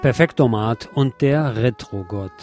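A man's voice: medium pitch, a little slow. A man hosting a radio music show in a conversational, wry and playfully wordy way. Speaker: radio host presenting new music.

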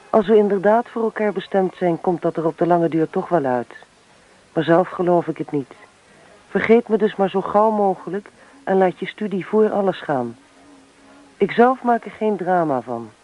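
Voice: medium pitch, lightly acted. An actor voicing a resolute young girlfriend's farewell letter in a radio play, composed and decided. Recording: muffled old radio recording.

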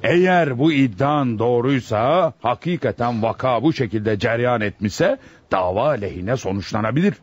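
An actor playing a stern, fatherly presiding judge in a radio drama, speaking formally, deliberately and with authority. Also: mid-range voice, lively intonation, husky. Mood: stern, admonishing. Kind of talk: Turkish radio theatre acting. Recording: muffled, dry lo-fi radio recording.

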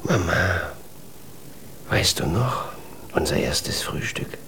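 A dreamy, skeptical husband in a children's radio play. His lowish voice is very gravelly and often sinks into a slow murmur, musing with a lively swing in pitch. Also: slight background noise, full character voice. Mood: wistful, sleepy. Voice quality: gravelly, half-whispered. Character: dreamy, skeptical husband called Papa, fond of whimsy. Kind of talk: children's radio drama acting.

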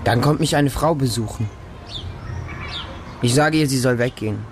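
A man's voice: mid-range voice, normal speed, over music, close to an everyday voice. An actor playing a distrustful feral orphan boy raised by dogs, narrating a radio drama in short, insistent, repetitive childlike sentences. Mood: defiant. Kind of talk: radio drama monologue, first-person narration.

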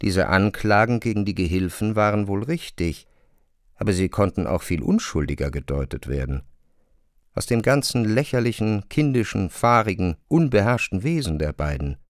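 A man's voice: fairly low pitch, animated, normal speed, no room sound, studio quality. A man narrating a literary radio drama in scripted prose.

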